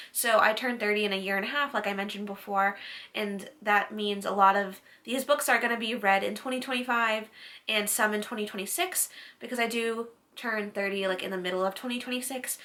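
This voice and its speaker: A woman's voice: mid-range voice, pushed and bright. A woman in her late twenties, a book vlogger chatting casually to camera, with lots of "like" fillers. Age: late 20s.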